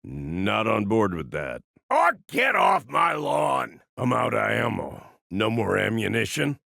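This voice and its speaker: Gravelly old American part-machine gunfighter. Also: male voice, on the low side, big pitch swings, slow, bright, forceful tone, no echo, studio quality, heavily acted. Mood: wry, grumbling. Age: older. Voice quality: gravelly, husky. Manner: folksy drawling slang, wry and grumbling. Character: gruff, grizzled old part-machine gunfighter, wry and world-weary. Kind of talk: video game character voice lines.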